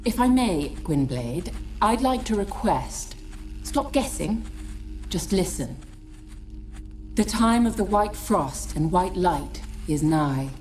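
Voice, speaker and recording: A grave, cryptic prophetess from a fantasy game. She speaks very slowly in a lowish, portentous voice. Music plays behind her. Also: animated, darkish tone. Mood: solemn, foreboding. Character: solemn, cryptic elven female seer. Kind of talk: video game dialogue.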